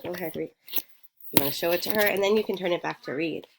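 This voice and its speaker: A woman's voice: lowish voice, quick pace, gravelly, a crowd in the background, low-quality recording. A woman talking casually at home as a mother, coaching a young child through reading with encouraging, short prompting questions.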